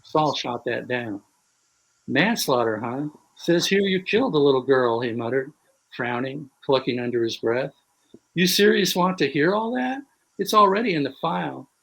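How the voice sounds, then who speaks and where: A man reading a passage of his own fiction aloud in a measured, wry voice over a video call. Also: medium pitch, gravelly, a bit bright, slightly rough, rough recording. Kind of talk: prose reading.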